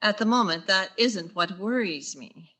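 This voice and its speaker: Woman acting a wry, bright-voiced schoolteacher. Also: mid-range voice, a little slow. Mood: wry, wary. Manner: dry sarcastic delivery. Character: wry, quick-witted schoolteacher woman, dryly exasperated. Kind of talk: old-time radio sitcom acting.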